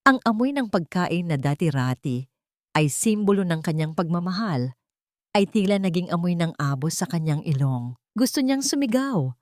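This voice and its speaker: A woman's voice: lowish voice, expressive, normal speed, a little mellow, no room sound. Woman narrating drama, dry close sound. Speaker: story narrator. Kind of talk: scripted dramatic narration of a love story.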